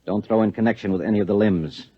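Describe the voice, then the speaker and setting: Low-voiced, curt, menacing husband. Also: male voice, fast talker, slightly rough. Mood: cold menace. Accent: American. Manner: curt, controlled, threatening. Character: menacing, secretive husband interrogating with cold suspicion. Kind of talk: 1950s American radio drama acting. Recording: muffled vintage radio recording.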